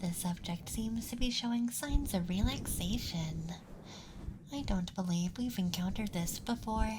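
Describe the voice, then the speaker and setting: A man voicing a playful young alien abductor in a whispered ASMR roleplay, speaking softly in a teasing, clinical manner. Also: high-pitched, measured pace, background noise. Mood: curious, clinical.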